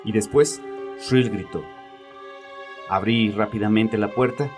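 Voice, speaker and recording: A man's voice: fairly low pitch, takes it slowly, faint music behind. A man playing a bitter, domineering grieving father who confides in a therapist with agitated, sarcastic arrogance in a horror audio drama. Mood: haunted.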